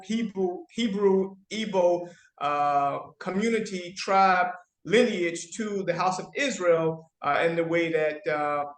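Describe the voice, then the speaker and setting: Halting male host in an echoey room. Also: high voice, fairly bright tone. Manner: halting, with frequent pauses. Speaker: interview host. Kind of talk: formal interview introduction.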